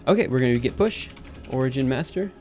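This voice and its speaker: A man live-streaming his coding session, thinking aloud in an upbeat, chatty way while typing, his voice a little raspy. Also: medium pitch, expressive, quick pace, darkish tone, faint music behind. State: slightly raspy after a drink. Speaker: programming livestreamer. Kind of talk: live coding stream, casual talk-through.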